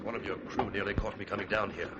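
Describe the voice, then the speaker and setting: A man playing a calm, determined prisoner accused of murder in an old-time radio drama, speaking in an earnest, low-key, persuasive way. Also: quick pace, natural voice. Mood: urgent, confiding. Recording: noisy, muffled vintage radio recording.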